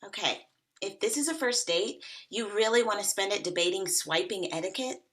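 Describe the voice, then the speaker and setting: An actress at a table read playing a blunt woman in her thirties, with a dry, deadpan delivery. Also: medium pitch, normal speed, natural voice. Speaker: actor playing Megan. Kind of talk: table read of a comedy pilot.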